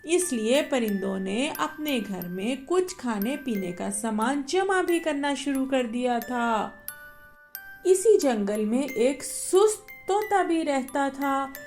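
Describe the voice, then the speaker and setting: A woman narrating a bedtime story for children in a slow, gentle way. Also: high-pitched, expressive, soft background music.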